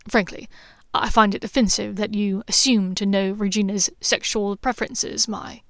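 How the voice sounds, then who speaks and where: A woman voicing a playful, affectionate young man who teases in a low, intimate tone. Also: fairly even intonation, normal speed, a bit bright, no echo, studio quality, close to an everyday voice. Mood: teasing. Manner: teasing, low and intimate, sometimes half-whispered.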